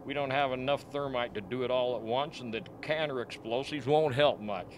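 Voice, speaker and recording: A man acts out a frank, grim scientist doctor in a radio play. He explains in a deliberate way at a middling pitch, over faint background noise. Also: natural voice. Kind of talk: amateur radio play acting.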